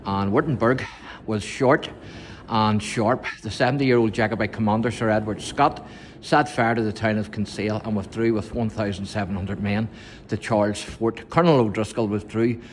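Low-voiced man reading museum plaques. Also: steady tone, normal speed, a little hiss or hum. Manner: reads plaques aloud with stumbles and asides. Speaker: vlogger touring a museum. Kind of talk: casual walkthrough, reading display panels aloud. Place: indoor museum exhibit room.

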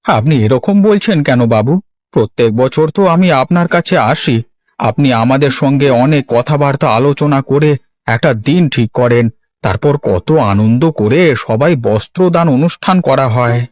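A man's voice: mid-range voice, lively intonation, moderate pace, a little mellow, natural voice. A man playing a humble, earnest village man in a cartoon, speaking deferentially and pleadingly. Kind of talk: Bengali cartoon voice acting.

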